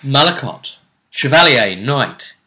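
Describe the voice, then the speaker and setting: Bright, forceful man recapping a tournament. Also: medium pitch, sing-song, takes it slowly, echoey room. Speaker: host of a tabletop role-play tournament vidcast. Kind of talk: casual recap commentary.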